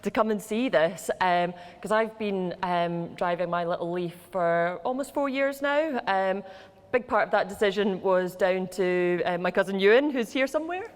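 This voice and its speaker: A woman's voice: mid-range voice, normal speed. A woman speaking as a panel guest, eager and chatty. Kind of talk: panel talk. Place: large hall with an audience. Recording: long hall reverb.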